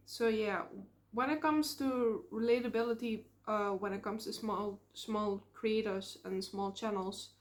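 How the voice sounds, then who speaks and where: A young woman with a Dutch accent, talking to camera in a casual vlog, earnest and reflective, often pausing on fillers. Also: on the high side. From Netherlands. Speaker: small YouTube creator.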